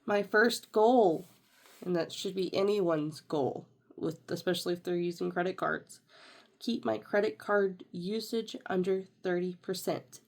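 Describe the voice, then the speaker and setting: A woman's voice: on the low side, unhurried. A young woman with a congested, nasal voice from a sinus infection, chatting casually as she walks through her budget planner. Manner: chatty, rambling with fillers and self-corrections. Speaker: budgeting vlogger.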